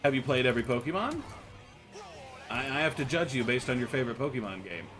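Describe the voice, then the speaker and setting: An American man talks casually to his viewers as he plays, his pitch sitting right in the middle. He rambles and jokes, tripping over and repeating his words. Faint game music plays quietly behind him. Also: animated, quick pace. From United States. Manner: rambling, joking, frequent stammered restarts and laughs. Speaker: streamer. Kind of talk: casual chat with viewers while gaming.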